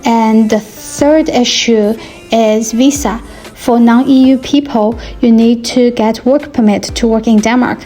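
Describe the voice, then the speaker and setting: A young woman from China, a native Chinese speaker, calmly explaining things in a scripted vlog narration. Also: fairly high pitch, takes it slowly, soft background music. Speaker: vlogger.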